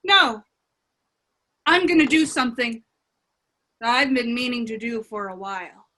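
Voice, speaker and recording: A woman's voice: a little high, a little slow, bright, forceful tone. A woman playing a fed-up, defiant fairy-tale princess in a radio comedy, exasperated and emphatic. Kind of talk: irreverent radio play acting.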